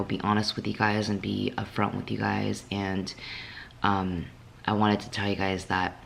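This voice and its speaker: A young woman with a raspy voice, just woken up, talking candidly in a casual vlog from her apartment, with many fillers. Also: a bass voice, a little hiss or hum. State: just woke up. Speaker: fitness vlogger.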